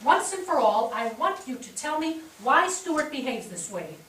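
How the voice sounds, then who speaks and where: Stage drama daughter role female actor, high voice, earnest and persistent, distant miking. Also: big pitch swings, normal speed, a bit bright. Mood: insistent. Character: earnest, insistent grown daughter of a widow. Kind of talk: theatrical staged reading. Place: theatre stage, picked up from far off. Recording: distant, echoey theatre.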